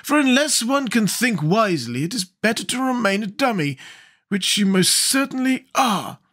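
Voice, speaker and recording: A male reader puts on a fussy scarecrow king for a storybook, pitched very high and swinging widely up and down. He is stately, wordy and admonishing, a pompous ruler who is easily bewildered. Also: big pitch swings, moderate pace, fairly bright tone, exaggerated voice. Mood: severe. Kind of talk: storybook character voice.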